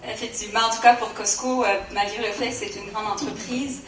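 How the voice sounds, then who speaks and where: A woman with a Canadian French accent giving a conference presentation, often pausing with fillers. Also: on the high side, steady tone, reverberant, far from the mic, a little hiss or hum, low-quality recording. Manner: hesitant, many fillers. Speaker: conference speaker.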